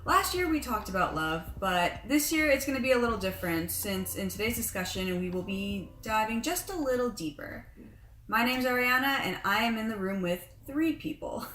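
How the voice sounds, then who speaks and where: A woman's voice: a little high, animated, normal speed, a bit bright, faint music behind. A young woman hosting a casual podcast chat, asking friendly questions of three guests. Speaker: podcast host. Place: small room with friends. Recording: echoey room.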